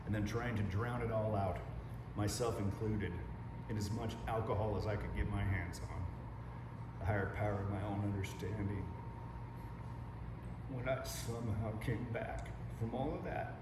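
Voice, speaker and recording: A man giving a slow, reflective sermon in a conversational tone with casual swearing. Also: fairly low pitch, steady tone. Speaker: pastor. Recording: roomy, distant mic with room noise.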